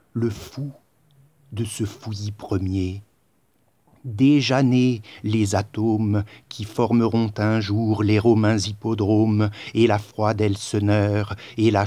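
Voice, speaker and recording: A man recites poetry in a solemn, declamatory way. His delivery is very slow and measured, broken into short phrases with pauses. The tone is dark and mellow, and the sound is close and dry. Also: medium pitch, dry recording. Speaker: poet reciting own poem.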